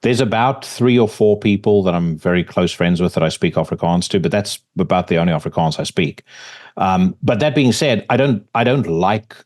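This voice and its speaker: A man hosts a podcast, his voice on the low side. He talks in a relaxed, casual way, swearing now and then and repeating words as he gets going. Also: normal speed. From South Africa. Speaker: podcast host. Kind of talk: casual conversation.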